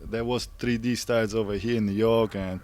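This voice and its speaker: Low, flat-voiced man from Germany. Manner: unhurried, searching for words. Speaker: graffiti artist guest. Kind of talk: casual interview answers.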